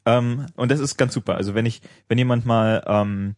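A man talks at length on a casual podcast, explaining and filling pauses with ums. The sound is dry and close. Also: mid-range voice, steady tone, dry recording. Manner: chatty, explaining with many fillers. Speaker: podcast co-host. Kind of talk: casual tech chat.

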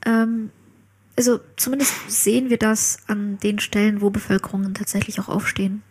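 A woman from Ukraine, a podcast guest, chatting casually and laughing often, her voice sometimes trailing off into a soft whisper. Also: medium pitch, steady tone, normal speed, breathy edge. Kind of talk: casual conversation.

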